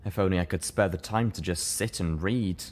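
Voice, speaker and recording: A man with a deep, low voice narrates a story in the first person. He reads from a script in the manner of an audiobook. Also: normal speed, darkish tone. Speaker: fan fiction reader, narrator.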